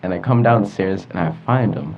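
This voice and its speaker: A teenage boy chatting casually, telling a school story in an animated way with bursts of laughter. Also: low-pitched, moderate pace, very noisy. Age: teens. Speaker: teen podcast co-host. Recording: dull lo-fi sound with music behind.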